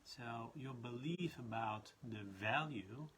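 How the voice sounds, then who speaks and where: Dutch trainer, bright forward voice, faint background noise, chatty live session. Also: male voice, medium pitch, slow. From Netherlands. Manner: rambling, many restarts and laughs. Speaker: leadership trainer. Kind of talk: casual live talk.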